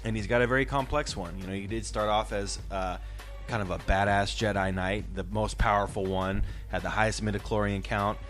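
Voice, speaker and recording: Podcast host: man with a lowish voice, casual and explanatory, encouraging his co-hosts along. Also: steady tone, a little fast, fairly bright tone, soft background music.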